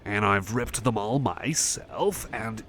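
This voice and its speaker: A man's voice: fairly low pitch, animated, fairly bright tone, slight background noise. A man playing a shy, friendly cyborg chum in an ASMR roleplay, stammering, repeating himself and putting himself down. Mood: friendly, awkward. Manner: stammering, halting, repeats phrases, self-effacing. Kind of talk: ASMR roleplay, personal attention.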